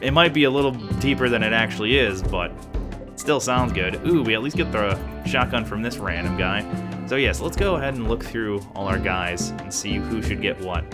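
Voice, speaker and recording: Man, low-ish voice, casual rambling gameplay talk, game music playing under him. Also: lively intonation, normal speed. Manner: chatty, rambling, thinks aloud. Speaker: let's play gamer.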